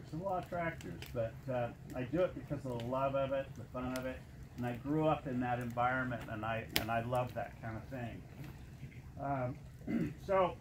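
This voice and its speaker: A man in his late fifties giving an informal personal testimonial to an audience in a halting, plain-spoken way with frequent pauses. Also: fairly high pitch. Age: late 50s. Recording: noisy live room recording.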